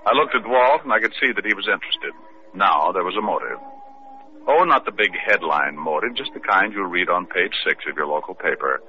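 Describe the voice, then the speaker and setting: A man's voice: low voice, expressive, a little fast, music in the background. A man playing a wisecracking detective who narrates and questions witnesses in an old radio mystery. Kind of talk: 1940s radio acting. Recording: tinny old radio recording with faint hiss.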